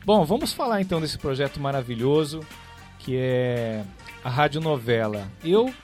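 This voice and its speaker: A man's voice: medium pitch, animated, moderate pace, a little mellow, soft background music. A middle-aged man with a Brazilian accent, casually hosting a radio interview. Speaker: radio host. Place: radio studio.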